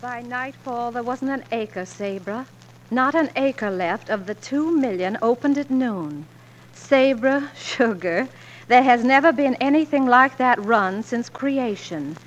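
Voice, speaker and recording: Actress as a high-voiced spirited young frontier wife. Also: female voice, unhurried, lightly acted. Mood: wistful, admiring. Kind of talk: 1940s radio drama acting. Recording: dull vintage radio recording.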